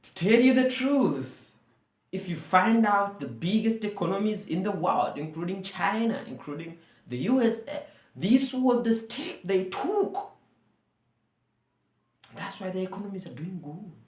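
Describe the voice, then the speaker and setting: A woman's voice: medium pitch, animated, a little slow, darkish tone, reverberant. A woman from Uganda whose first language is Luganda, speaking in an earnest, rallying way and repeating phrases for emphasis. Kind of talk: persuasive monologue to camera.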